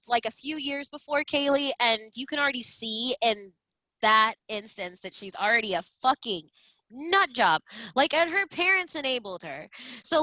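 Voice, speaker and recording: A woman's voice: sits high, bright, forceful tone, dry recording, low-quality recording. A woman in her early twenties co-hosting a casual true-crime comedy chat, blunt, emphatic and foul-mouthed. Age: early 20s. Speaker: podcast co-host.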